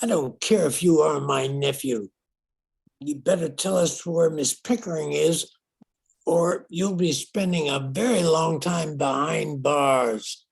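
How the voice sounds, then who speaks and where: An older man playing a proper, old-fashioned uncle in an amateur old-time radio drama, speaking in a husky, deliberate way. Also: a little high, heavily acted. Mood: stern anger.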